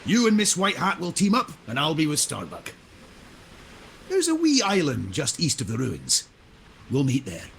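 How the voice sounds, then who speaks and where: An actor playing a jovial, theatrical pirate ship captain in a video game, with a playful, roguish manner. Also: male voice, fairly high pitch, huge pitch swings, moderate pace, creaky, pauses often, a bit bright, slight background noise, full character voice. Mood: breezy, confident. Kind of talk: video game character acting.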